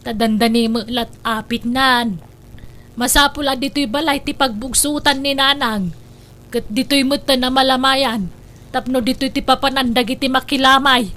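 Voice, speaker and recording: A high, bright woman's voice with an Ilocano accent, sharp-tongued and defensive. She carries an audio comedy drama with quick, pointed retorts. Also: steady tone. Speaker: drama voice actor, daughter-in-law caring for an old mother. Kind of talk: Ilocano radio-style comedy drama acting.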